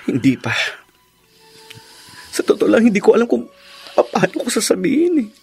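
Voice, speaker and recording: An actor playing a tipsy, troubled young husband in a radio melodrama, confiding in despair. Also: male voice, sits high, wildly swooping pitch, normal speed, gravelly, warm tone, faint music behind, heavily acted. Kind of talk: melodramatic radio drama acting.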